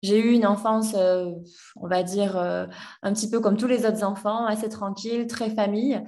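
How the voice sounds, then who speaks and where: A woman's voice: mid-range voice, fairly even intonation, normal speed, warm tone. Hesitant young woman, slightly distant mic. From France. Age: 20s. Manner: hesitant, many fillers and restarts. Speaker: guest. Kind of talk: personal story in a podcast interview.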